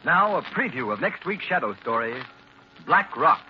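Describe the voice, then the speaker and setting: A man's voice: fairly high pitch, very animated, moderate pace, music in the background. A man announcing in scripted old-time radio style, with a dramatic, emphatic manner. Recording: dull, hissy old radio recording.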